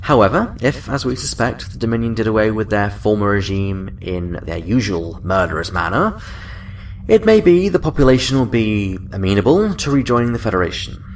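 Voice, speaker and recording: A man playing a senior fleet officer in a science-fiction audio drama, speaking in a measured, wry, formal way. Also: fairly low pitch, very animated, recorded from a distance, a little hiss or hum.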